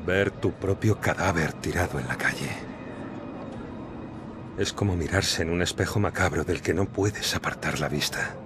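An actor playing the bewildered, sardonic ghost of a murdered police detective in a video game dub. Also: male voice, low-pitched. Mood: somber. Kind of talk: video game cutscene acting in Spanish dub. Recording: clear background ambience noise.

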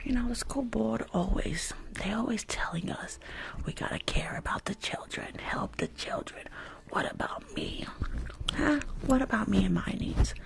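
A woman's voice: on the high side, animated, normal speed, vocal fry, poor audio. Whispering young New York woman, curt and sassy. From Bronx, New York. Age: young adult. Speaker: ASMR performer role-playing a rude school nurse. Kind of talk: whispered ASMR roleplay. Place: small room at home. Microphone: close desk microphone with fluffy cover.

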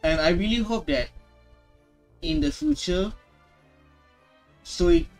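A man's voice: high voice, sing-song, takes it slowly, gravelly, faint music behind. A man giving casual gaming reaction commentary, emphatic and often repeating his words and phrases. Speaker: gaming streamer.

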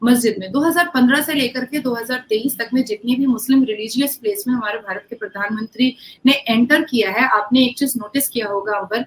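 A woman from India talks as a guest over a video call, voice set fairly high. She pushes her points with insistence and trips into quick restarts as she goes. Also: rough recording. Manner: earnest, insistent, with frequent restarts. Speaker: interview guest, activist. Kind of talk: online video-call interview. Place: indoor home room, video call.

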